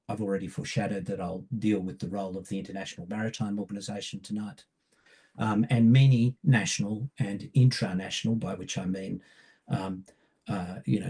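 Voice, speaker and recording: Man, croaky from a cold, public lecture, low mellow voice, halting pace. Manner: measured, hesitant with many ums. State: hoarse from a cold. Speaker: barrister giving a public talk.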